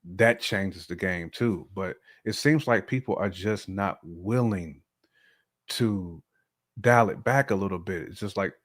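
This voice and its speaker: A man's voice: low voice, a little slow. An American man in casual podcast conversation, talking colloquially and emphatically, often checking that the listener follows. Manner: colloquial, emphatic, with frequent "you know" check-ins. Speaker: podcast guest or co-host discussing relationships.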